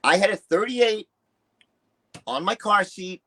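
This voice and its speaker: A man's voice: sits high, animated, takes it slowly, pushed and bright, slightly rough. A man, telling personal stories candidly as an interview guest, blunt and emphatic, swearing freely and acting out other people's remarks. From New York City, United States. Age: early 60s. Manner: blunt, profane, emphatic, acts out quoted dialogue. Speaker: interview guest, retired musician and bodybuilder.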